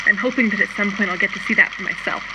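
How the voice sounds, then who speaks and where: A woman's voice: medium pitch, very fast, lightly acted. A woman playing a lonely, embittered young showgirl confiding in a plaintive, colloquial radio drama monologue. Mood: uneasy, hopeful. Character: scarred, lonely, embittered young showgirl keeping audio diary. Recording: dull lo-fi recording, loud background noise.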